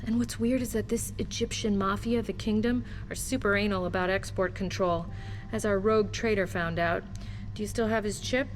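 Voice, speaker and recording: Misanthropic female sysadmin for a video game, played by an actress: flippant deadpan, medium pitch, light music behind. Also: moderate pace, natural voice. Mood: flippant, bored. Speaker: systems administrator. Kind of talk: scripted video game dialogue.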